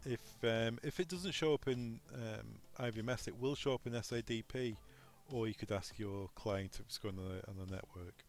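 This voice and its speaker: Low-voiced man giving a software tutorial. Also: moderate pace, hardly any pauses. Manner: informal, instructional, talking through each step aloud. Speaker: technical trainer presenting a tutorial. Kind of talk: unscripted step-by-step software walkthrough.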